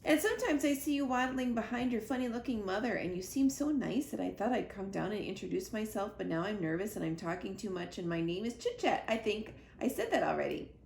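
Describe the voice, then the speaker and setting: A woman voicing a young, nervous, excitable female squirrel who rambles breathlessly in a children's storybook. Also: high-pitched, fairly quick, exaggerated voice. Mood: nervous, gushing. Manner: rambling, breathless run-on chatter. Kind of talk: children's storybook read-aloud. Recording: roomy echo, clear background noise.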